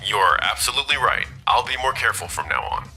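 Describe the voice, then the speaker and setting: A man's voice: mid-range voice, big pitch swings, normal speed, a bit bright, faint music behind, rough recording. A man playing a scheming, self-important office manager with pushy corporate formality in a manga dub. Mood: flustered, contrite. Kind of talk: scripted manga dub acting.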